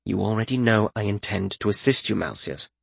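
A man voicing a calm, laconic fighter and healer in a fantasy audiobook, with an understated, measured manner. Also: fairly low pitch, vocal fry, husky, dry recording, full character voice. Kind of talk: audiobook fantasy character acting.

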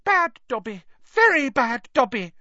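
A narrator voicing a small, servile male house elf from a storybook, squeaky, anxious and deferential, pleading in the third person. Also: very animated, measured pace, sharp tone, no echo, muffled recording, heavily acted. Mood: frightened, pleading.

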